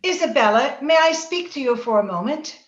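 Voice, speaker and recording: Woman doing a haughty jealous young wife, high voice, melodramatic old radio acting, echoey slightly muffled audio. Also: animated. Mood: scolding, haughty. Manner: melodramatic, halting when upset. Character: anguished, jealous, haughty young married lady. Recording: roomy, slightly dull remote-call sound.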